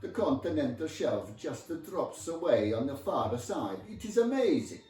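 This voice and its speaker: A man's voice: a little high, very animated, a little slow, warm tone, reverberant, recorded from a distance, faint music behind, pitched above the performer's own voice. A man voicing an enthusiastic, macho Italian husband with a lilting, sing-song Italian accent in a comic story.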